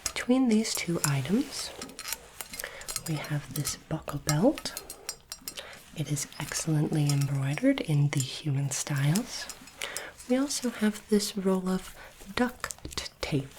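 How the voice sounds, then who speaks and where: A woman playing a polite, curious alien interviewer in an ASMR roleplay, speaking formally and deliberately in a soft, breathy whisper. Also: low voice, big pitch swings, slight background noise, exaggerated voice, poor audio. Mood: formal, approving. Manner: slow, deliberate, formal phrasing.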